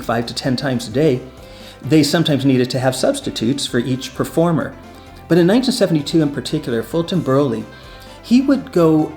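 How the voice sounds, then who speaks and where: A man's voice: mid-range voice, a little mellow. A man telling park history stories in a casual, conversational, enthusiastic way. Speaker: presenter and storyteller. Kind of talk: casual storytelling about theme park history.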